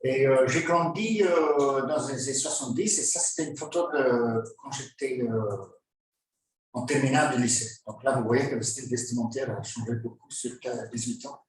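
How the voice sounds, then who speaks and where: A man, giving a lecture as a guest speaker, halting, with frequent filler pauses and wry asides. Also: medium pitch. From Belgium. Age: 50s. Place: lecture hall. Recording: very echoey hall from far off.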